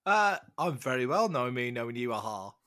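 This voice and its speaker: A middle-aged man with a slightly rough, congested voice from a cold, telling anecdotes casually as a podcast guest. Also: mid-range voice, sing-song, a little fast, pushed and bright. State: getting over a cold, congested. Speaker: podcast guest, fan-site writer and interviewer.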